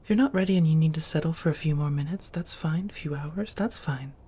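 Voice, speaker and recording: A woman playing an affectionate, teasing vampire roommate in a soft-spoken ASMR roleplay, breathy and intimate, often half-whispering. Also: low voice, fast talker. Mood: coaxing, tender. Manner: intimate, teasing, coaxing with pet names.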